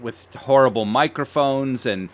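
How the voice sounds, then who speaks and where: A man's voice: mid-range voice, a little slow. A man co-hosting a podcast in casual, effusive, complimentary interview chat. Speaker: podcast co-host.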